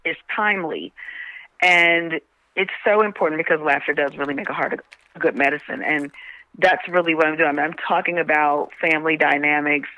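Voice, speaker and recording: A woman's voice: deep voice, moderate pace, sharp tone, dry recording, dull audio. A woman from Baltimore, a comedian being interviewed, talking conversationally in an earnest, emphatic way. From Baltimore, Maryland, USA.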